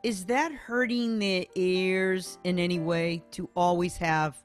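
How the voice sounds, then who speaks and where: A woman's voice: fairly low pitch, fairly even intonation, slow, soft background music. Chatty woman in her sixties hosting. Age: 60s. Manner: chatty, curious, tells stories. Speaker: host. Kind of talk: casual interview on a video call. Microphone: video call.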